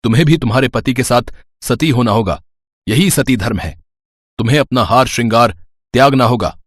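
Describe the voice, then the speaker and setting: A man's voice: mid-range voice, a little fast, slightly rough, no room sound, studio quality, natural voice. A man playing a cruel, scheming prince in a devotional story, imperious and formal in his speech. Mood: stern, accusing. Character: stern, scheming villainous prince, commanding and cruel.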